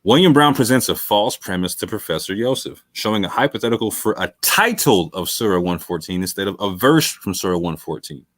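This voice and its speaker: A man's voice: lowish voice, animated, a little fast, few pauses. A male talk show host giving long, emphatic commentary late in a livestream. He repeats phrases for stress, calls listeners fam, and sounds worn from hours on air.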